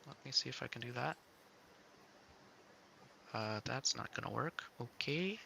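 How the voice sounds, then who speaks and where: A man's voice: mid-range voice, expressive, a little slow, gravelly, bright, forceful tone, slight background noise. A man chatting casually as he co-hosts a demo livestream as a game developer.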